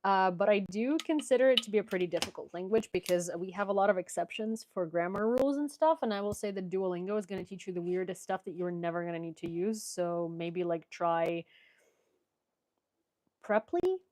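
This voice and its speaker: A woman's voice: medium pitch, fairly quick, a little mellow. A young woman from Ukraine with a Ukrainian accent, hosting a casual livestream, chatty with many fillers and restarts. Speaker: livestream host. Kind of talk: casual livestream chat reading viewer questions. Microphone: headphones.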